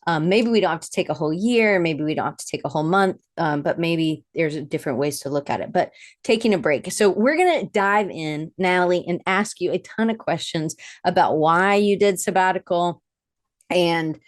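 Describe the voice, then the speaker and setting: Woman host, a bit low voice, rambly chatty intro, totally dry mic. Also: animated, normal speed, dry recording. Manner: chatty and rambling, greets the live audience. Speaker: podcast host. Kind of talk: casual live-stream interview.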